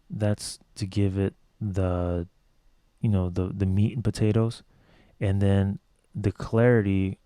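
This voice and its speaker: A man with a lower tenor voice casually explaining how to finish a song, talking loosely with lots of "like" and "you know.". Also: warm tone, no echo, studio quality. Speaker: music production tutor. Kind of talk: casual tutorial walkthrough.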